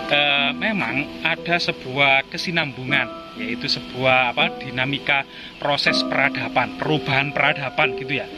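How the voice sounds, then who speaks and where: A man giving unscripted explanations of an old site as a guide, often repeating his phrases. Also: on the high side. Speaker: host and guide explaining ancient sites. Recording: loud music behind the voice. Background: loud music.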